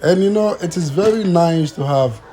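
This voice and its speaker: A man's voice: on the high side, moderate pace, dark tone, slight background noise. A man from Ghana chatting casually to his vlog audience outdoors, hesitant, with many fillers and restarts. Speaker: vlogger.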